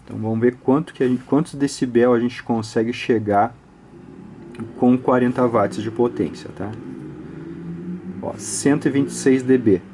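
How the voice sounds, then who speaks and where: A man's voice: medium pitch, normal speed, long pauses, dark tone, a little hiss or hum. A man with a Brazilian Portuguese accent giving an informal step-by-step technical tutorial, conversational and explanatory, often ending phrases with small tag questions. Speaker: audio electronics instructor, channel host.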